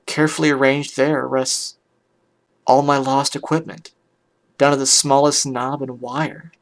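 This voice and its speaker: A man plays a weary pony musician pouring out a bitter, tender story. The voice is mid-pitched with a gravelly fry, and the delivery is hushed, halting and full of feeling. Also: level tone, natural voice. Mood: weary, subdued. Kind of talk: dramatic first-person fan fiction reading.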